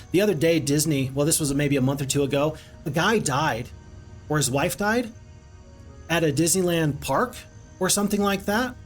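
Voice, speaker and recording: A man casually commenting on his strategy game stream, rambling and chatting to viewers. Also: fairly high pitch. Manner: chatty, rambling, reads viewer chat aloud.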